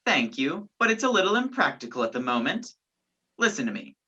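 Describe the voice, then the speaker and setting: Woman playing a sardonic man on the run, low-voiced. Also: big pitch swings, brisk, sharp tone, husky, full character voice. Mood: earnest, sardonic. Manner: dry sarcasm, clipped orders. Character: sardonic, commanding man on the run, falsely accused. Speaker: student stage actor. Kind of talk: radio play acting. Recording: dull, lo-fi virtual recording.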